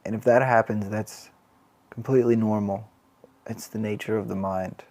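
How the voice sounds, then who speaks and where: Even-toned man guiding a meditation. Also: lowish voice. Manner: calm, gentle, unhurried with long pauses. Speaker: mindfulness teacher. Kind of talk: guided body scan meditation.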